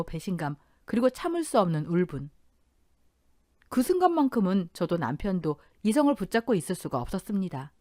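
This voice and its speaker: A woman's voice: lowish voice, a little mellow, no echo, studio quality. A woman in her thirties narrating a dramatic first-person family story in an intense, emotional telling.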